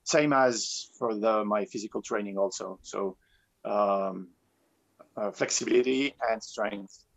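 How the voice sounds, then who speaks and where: Male interview guest from Tunisia. Deep-ish, heavy fry, halting and reflective delivery, lo-fi call sound. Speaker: guest, professional free diver. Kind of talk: conversational interview answers. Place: remote online call. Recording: dull lo-fi online call.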